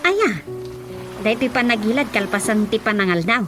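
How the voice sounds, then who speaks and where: An actress with an Ilocano Filipino accent playing an agreeable, curious wife in a comedy radio drama, questioning in drawn-out phrases. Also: female voice, a little high, lively intonation, music in the background, natural voice.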